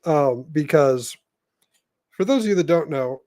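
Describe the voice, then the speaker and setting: A man with a moderately high voice hosts a podcast. He speaks in an informal, conversational way, as in a casual chat. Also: animated, measured pace, darkish tone. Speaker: podcast host.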